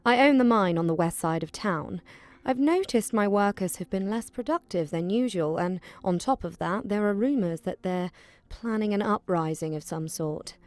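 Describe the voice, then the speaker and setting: A woman's voice: mid-range voice, moderate pace, darkish tone, lightly acted. An actress voicing a cold, imperious elven lady who owns a mine.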